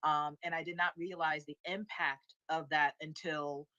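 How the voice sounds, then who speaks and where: A low-voiced American woman with a bright, forward tone. She talks conversationally as a panelist posing questions, on a dry but slightly dull, lo-fi connection. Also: flat delivery, normal speed, no room sound. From Baltimore and Washington DC, United States.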